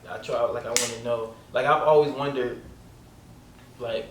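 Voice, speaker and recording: A man's voice: mid-range voice, steady tone, moderate pace. Man with a light voice, echoey noisy room. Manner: loose and rambling, heavy on filler "like" and street slang. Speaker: podcast host. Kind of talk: casual interview chat. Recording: echoey room, mic at a distance, noisy background.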